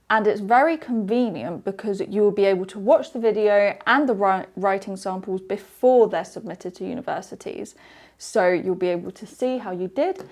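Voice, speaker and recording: A woman from the UK, an English exam trainer, presenting a webinar and walking through slides in a steady, explanatory way. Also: mid-range voice.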